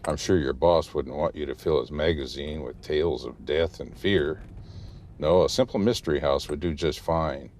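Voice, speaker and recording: Audio drama role: grave, cautious local guide, extremely low voice, formal and measured delivery, male actor, some background noise. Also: darkish tone. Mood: grave, warning. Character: grave, cautious male small-town guide warning a reporter.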